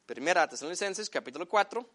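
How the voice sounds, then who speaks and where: A man whose first language is English preaching a sermon in Spanish, addressing the congregation as brothers and slowing down to stress key phrases. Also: sits high, lively intonation, a bit bright. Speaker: pastor.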